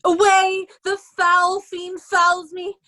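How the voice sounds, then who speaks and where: A woman playing a raving mad beggar in an amateur Shakespeare reading, wild and chanting with drawn-out nonsense. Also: extremely high pitch, completely flat delivery, bright, forceful tone, exaggerated voice. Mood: raving, tormented. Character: Edgar feigning a raving mad beggar, Poor Tom.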